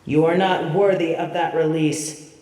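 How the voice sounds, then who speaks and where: Female angel of judgment, played by a woman, imperious, haughty, slow formal delivery, roomy echo. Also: sits low, fairly even intonation, dark tone. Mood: solemn, commanding. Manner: formal, solemn, haughty diction. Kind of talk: fantasy audio drama acting. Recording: roomy echo, distant from the mic.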